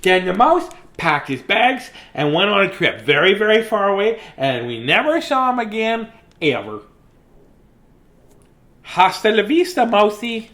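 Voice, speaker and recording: A man's voice: high-pitched, big pitch swings, a little slow, bright, forceful tone, reverberant, pitched above the performer's own voice, exaggerated voice. A man voicing a cheeky, boastful male cat from a children's storybook in a smug, showy manner. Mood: smug, gleeful. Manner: smug, showy, self-admiring.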